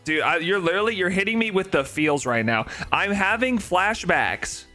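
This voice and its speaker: A man with a nasal voice chatting casually on a live stream, rambling and excitable, with faint music behind him. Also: sits high, normal speed. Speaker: shiny-hunting live streamer.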